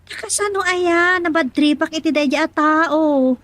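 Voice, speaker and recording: A woman's voice: high-pitched, measured pace, a bit bright, slight background noise. An actress playing an outspoken, easily irked young working woman in an Ilocano radio drama. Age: young adult.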